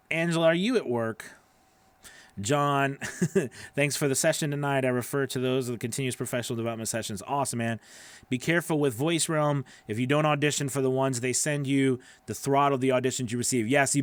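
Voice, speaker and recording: A man around his forties chatting casually as a livestream host and coach, animated and emphatic, speaking close into a studio microphone. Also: medium pitch, fairly quick, no room sound. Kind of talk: casual livestream chat, answering viewer comments. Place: small home studio with acoustic foam. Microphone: broadcast microphone on a boom arm, up close.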